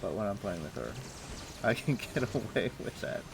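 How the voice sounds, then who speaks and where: A man's voice: on the low side, very animated, normal speed, vocal fry, slight background noise. A young man streaming a game from home, chatting casually with many fillers and restarts. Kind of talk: casual gameplay chat. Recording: music playing behind voice. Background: music.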